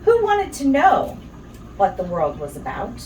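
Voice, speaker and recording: Woman storyteller, animated and unhurried rhythmic picture-book read, roomy recording with faint noise. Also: fairly high pitch, distant mic. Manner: animated, rhythmic delivery with asides to children. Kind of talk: expressive bedtime story read-aloud.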